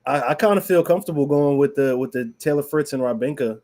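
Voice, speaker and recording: A man co-hosting a casual sports talk show, relaxed and slangy, calling everyone bro. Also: on the high side, fast. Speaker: podcast co-host.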